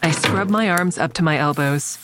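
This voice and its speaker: Actress as a very low-voiced female narrator. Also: normal speed, over music, close to an everyday voice. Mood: wry, uneasy. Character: observant, wry, secretive woman narrating her own story. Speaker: narrator and lead character. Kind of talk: first-person audio drama narration.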